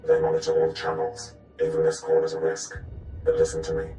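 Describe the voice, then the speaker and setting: An actor playing a secretive, guarded male handler in a cinematic video game, speaking in a hushed way. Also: fairly quick, natural voice. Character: secretive male handler, guarded self-described invisible friend. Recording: roomy echo with music behind.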